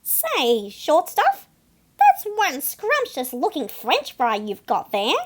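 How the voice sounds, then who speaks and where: Someone doing a brash, very high-pitched boastful cartoon seagull. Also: huge pitch swings, takes it slowly, heavily acted. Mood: cocky. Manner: brash, wisecracking, theatrical. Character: boastful, melodramatic cartoon seagull, streetwise snack thief. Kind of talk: animated picture-book read-aloud for children.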